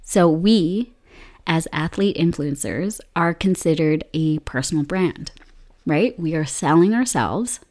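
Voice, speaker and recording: A young woman hosting a solo podcast, her voice hoarse from illness, upbeat and chatty with frequent laughs. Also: low-pitched, expressive, slow pace, a little mellow. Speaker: podcast host.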